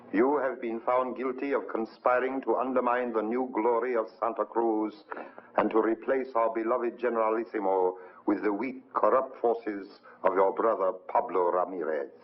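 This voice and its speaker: An actor playing a stern officer of a dictatorship, pronouncing sentence in a pompous, formal 1950s film manner. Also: male voice, on the low side, steady tone, normal speed, close to an everyday voice. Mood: stern, official. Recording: dull old film soundtrack, loud noise.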